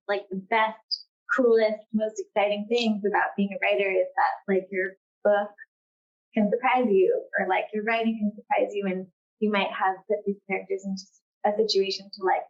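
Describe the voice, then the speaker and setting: An American woman novelist answering questions off the cuff at a literary event, informal and hesitant, peppering her speech with "like". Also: medium pitch. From California, United States. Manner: informal, hesitant, frequent "like" as filler. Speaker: novelist, guest author. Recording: dull, lo-fi event recording.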